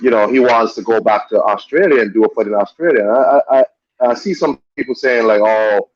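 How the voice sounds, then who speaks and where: A man's voice: mid-range voice, brisk, no room sound. Blunt opinionated man, muffled audio. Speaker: podcast co-host. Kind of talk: casual boxing talk.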